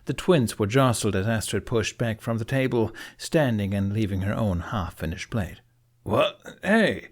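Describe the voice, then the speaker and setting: A man's voice: on the low side, normal speed, few pauses, darkish tone. A man reading scripted fiction as an audiobook narrator.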